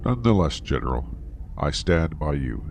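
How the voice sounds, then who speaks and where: Guy as a loyal sci-fi officer, very low grave voice, deliberate, music under. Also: very animated, gravelly, heavily acted. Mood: resigned loyalty. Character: earnest, loyal starship operations officer, protective father. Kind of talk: audio drama acting.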